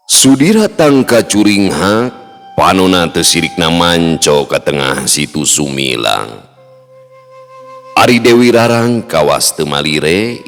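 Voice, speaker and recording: A man narrating a traditional folk tale as storyteller, with a slow, melodic delivery. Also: very deep voice, a bit bright. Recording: long reverb, faint music behind.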